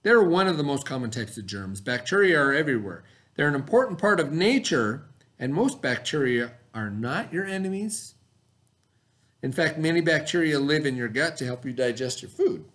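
Animated middle-aged American man teaching children. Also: fairly high pitch. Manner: expressive, instructional, pauses to ask the listener questions. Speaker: elementary school teacher. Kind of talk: read-aloud lesson for children. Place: small indoor classroom, at a desk.

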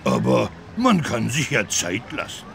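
A man voicing a big, good-natured, childlike talking elephant with a gravelly voice in a children's game. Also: mid-range voice, sing-song, measured pace, fairly bright tone, slight background noise, full character voice. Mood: jolly, friendly. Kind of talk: children's educational game voice acting.

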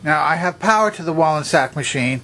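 A man's voice: on the high side, animated, brisk, bright, forceful tone. A man giving an unscripted, methodical demonstration, explaining things step by step in a plainly instructional way. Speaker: presenter of vintage electronics demonstrations.

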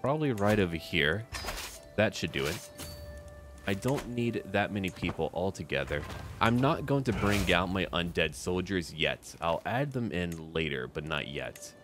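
Male gaming creator, deep voice, casual in-character strategy commentary in clipped asides, faint music underneath. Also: expressive, moderate pace. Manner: talks to himself and to game characters in short clipped remarks. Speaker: gaming video creator narrating his own playthrough.

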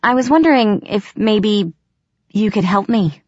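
A woman's voice: mid-range voice, lively intonation, a little slow, no room sound, lo-fi sound, voice pushed up. A woman voicing an anxious human girl in a fantasy audiobook, earnest, hesitant and pleading. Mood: nervous, hesitant.

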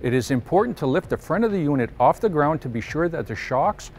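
A middle-aged man giving a scripted technical presentation in a steady, measured voice, recorded on an indoor studio set. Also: fairly high pitch, slight background noise.

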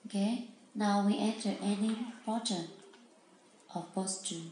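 A woman demonstrating a product in non-native English, taking each step slowly. Her phrasing is simple and halting, with repeated instructions. The room is echoey, with some background noise. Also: mid-range voice, steady tone, a little mellow. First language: not English.

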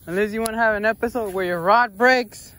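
A man's voice: high-pitched, a little fast, bright, forceful tone. An American man chatting casually and informally as a fishing vlogger, out in the open air by a pond. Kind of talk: casual chat while fishing. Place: outdoors on a grassy pond bank.

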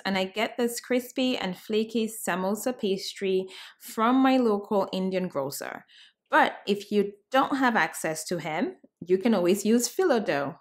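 A cheerful woman in her thirties hosts a home cooking tutorial, chatting straight to her viewers. Her voice sits at an ordinary middle pitch and she talks in a friendly, upbeat way. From Cameroon. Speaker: cooking show host. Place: bright home room, indoors.

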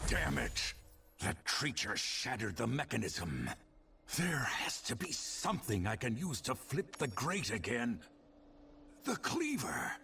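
A man playing a grim, gruff adventurer hero in a fantasy game, brooding and deliberate, with a gravelly voice. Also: medium pitch, huge pitch swings, pushed and bright, slight background noise, exaggerated voice. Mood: frustrated, urgent. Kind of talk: scripted fantasy game dialogue.

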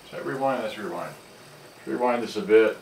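A man's voice: lowish voice, a little fast, gravelly. An American man, middle-aged, giving a casual, unscripted demonstration, rambling to himself as he works at a bench. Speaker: vintage cassette player repairer and seller. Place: cluttered indoor workbench. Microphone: camera mic at a distance. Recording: roomy, distant camera mic, noisy, clicks.

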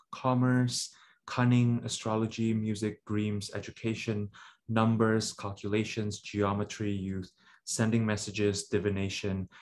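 A man in his thirties from Indonesia giving a reflective online talk on a webcam, with many "right?" checks and "um" pauses. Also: lowish voice. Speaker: symposium speaker. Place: indoor room at home. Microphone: webcam video call.

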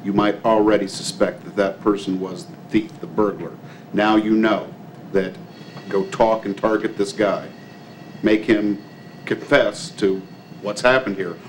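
A man's voice: fairly low pitch, a little hiss or hum, poor audio. A man explaining how police use a tool, in a plain explanatory way, at a city meeting. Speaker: police representative.

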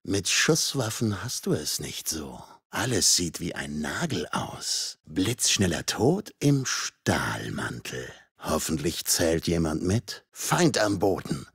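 A menacing game villain, a masked killer with a deep, rough voice. He taunts his victims with swooping intonation and dry, cruel mockery. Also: big pitch swings, fairly bright tone, dry recording, heavily acted. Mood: taunting, sardonic. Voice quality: raspy, husky. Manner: sardonic, taunting, drawn-out menace. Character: masked male slasher killer, mocking, menacing, foul-mouthed. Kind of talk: video game combat voicelines.

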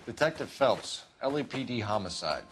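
A man's voice: mid-range voice, big pitch swings, normal speed, a little hiss or hum, natural voice. A man playing a stern, controlled homicide detective in a video game, clipped and authoritative. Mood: stern questioning. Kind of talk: game cutscene acting.

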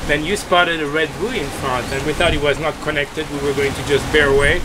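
A man talking casually as a sailing vlogger, explaining things in a hesitant, halting way outdoors on a boat. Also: a little high, steady tone. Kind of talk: casual explanatory vlog talk. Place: outdoors aboard a sailboat at sea. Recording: noisy with wind, water and boat sounds.

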